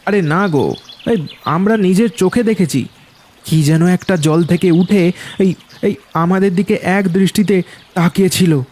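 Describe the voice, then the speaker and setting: A man's voice: sits high, a little slow, long pauses, darkish tone. A man voicing a frightened village fisherman in a ghost cartoon, stammering, breathless and insistent.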